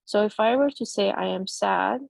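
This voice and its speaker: A middle-aged woman whose first language is Persian, casually walking through a software demo on a video call with many fillers and restarts. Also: medium pitch, normal speed, creaky, dry recording. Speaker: presenter demoing a chatbot tool.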